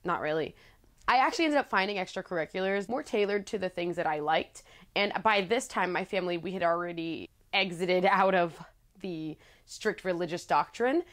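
Chatty low-voiced young woman vlogger. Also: fairly bright tone. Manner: candid, chatty and playful, laughs often. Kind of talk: casual talking-head storytelling. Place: small living room with plants, indoors.

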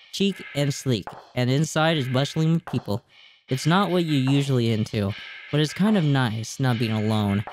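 A man's voice: mid-range voice, a little mellow. A man in his twenties reading a game's text aloud at a close desk mic, with casual reactions. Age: 20s. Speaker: gaming YouTuber. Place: small indoor room at home. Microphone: desk microphone close up. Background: game music.

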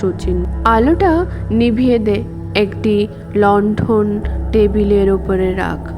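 Storybook male magician, commanding, mysterious, unhurried, music underneath female narrator acting him. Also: medium pitch, lightly acted. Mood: curt, commanding. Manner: commanding, terse.

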